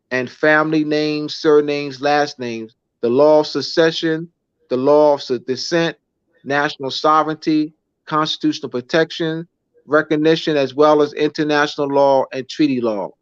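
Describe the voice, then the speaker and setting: A man from the United States, a teacher and author, reads out a lesson outline and then chats casually, halting and repeating words. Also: fairly high pitch, clear, resonant tone, no echo, muffled recording.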